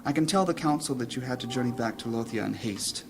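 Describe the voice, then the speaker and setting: An actor playing a grave, anxious leader of a wizard council in a fantasy audio drama, speaking formally and deliberately. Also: male voice, medium pitch, natural voice. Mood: urgent, solemn. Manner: formal, solemn, deliberate speech.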